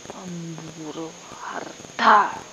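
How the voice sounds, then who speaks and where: A weary ghost put on by a medium murmurs in halting fragments, drawing words out extremely slowly. The voice is breathy and often sinks to a whisper, under clear outdoor insect noise. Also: medium pitch. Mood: faint, halting. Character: weary ghost of a 39-year-old Dutch-Chinese settler. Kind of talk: improvised spirit possession. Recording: noisy outdoor field recording, faint distant voice.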